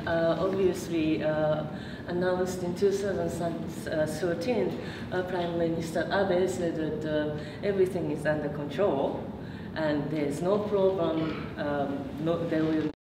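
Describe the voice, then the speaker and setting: A low-pitched woman gives a lecture in a meeting room, pausing often on fillers and restarting her sentences. She is picked up from far off, with a roomy echo and steady background noise. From Japan. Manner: hesitant, many fillers and restarts. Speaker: PhD researcher giving a talk. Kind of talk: public lecture with slides. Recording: echoey room from far off, noisy.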